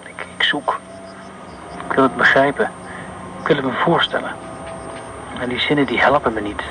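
An actor playing a brooding man haunted by war memories, halting and repeating phrases, in a radio play. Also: medium pitch, bright, forceful tone, a little hiss or hum, natural voice, poor audio.

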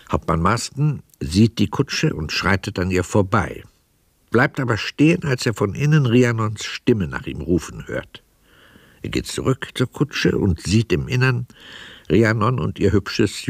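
A man narrates a radio drama from a script. His voice is low and creaky, with lively intonation, recorded close and dry. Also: no echo.

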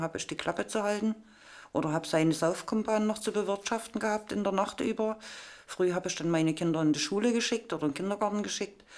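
Female interviewee, middle-aged, slightly low pitch, frank and plain-spoken, everyday colloquial phrasing. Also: a little mellow. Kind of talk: frank personal account.

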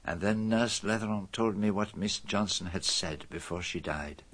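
An actor playing a self-assured, methodical detective in a radio drama, speaking formally with dramatic emphasis. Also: male voice, fairly low pitch, normal speed, a bit bright. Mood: reflective, dramatic. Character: famous male detective, methodical, self-assured, theatrical in revealing a murderer.

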